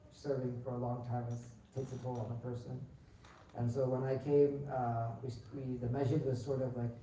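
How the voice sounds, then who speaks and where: A young man speaks in a deep-toned, very mellow voice that stays flat and steady, wandering loosely through his story with frequent fillers and restarts. He is picked up by a distant mic in a large, strongly echoing mosque hall. A faint hiss of noise sits under the sound. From United States, born in Pakistan. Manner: relaxed, rambling, many filler sounds and restarts. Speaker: imam candidate being interviewed. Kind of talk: casual spoken interview answers.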